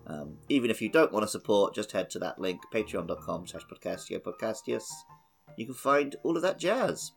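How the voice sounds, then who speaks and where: Male podcast co-host, lowish voice, informal chatty gaming talk, faint music underneath. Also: sing-song, a little fast, gravelly. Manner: informal, colloquial. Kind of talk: casual gaming podcast chat.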